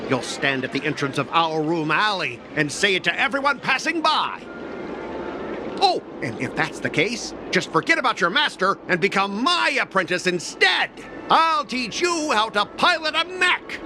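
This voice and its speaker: A man's voice: high-pitched, very animated, moderate pace, noisy background. An actor voicing a smug, mocking young mech salesman in a video game, with a sneering, theatrical manner. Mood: taunting. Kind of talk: video game cutscene acting.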